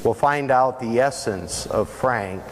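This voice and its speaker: An older man preaching a sermon as pastor, earnest and emphatic, with drawn-out pauses, standing in a large church sanctuary. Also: mid-range voice. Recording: long church reverb, faint hiss.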